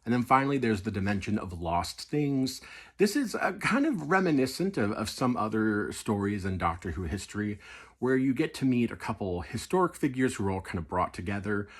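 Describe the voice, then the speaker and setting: A man's voice: lowish voice, very animated, brisk. A middle-aged man giving an enthusiastic, conversational, casual review.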